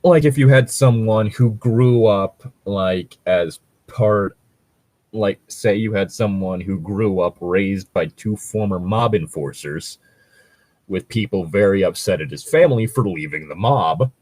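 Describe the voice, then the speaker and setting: A man's voice: lowish voice, unhurried, darkish tone, no room sound. A man co-hosting a casual commentary chat, talking in a hesitant, filler-heavy and casually sweary way. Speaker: podcast co-host.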